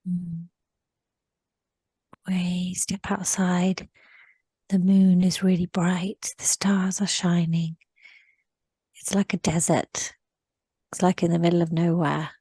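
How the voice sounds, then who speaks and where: A woman whispering a slow first-person recollection in a guided session, often repeating short phrases. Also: fairly low pitch, level tone, warm tone, breathy edge, no room sound.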